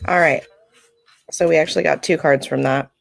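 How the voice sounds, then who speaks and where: A woman with a scratchy voice hosting a live stream, giving a casual spiritual card reading in an informal, halting, explanatory way. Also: deep voice, fairly even intonation, gravelly. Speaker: live-stream oracle card reader and host. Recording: music playing during a live stream.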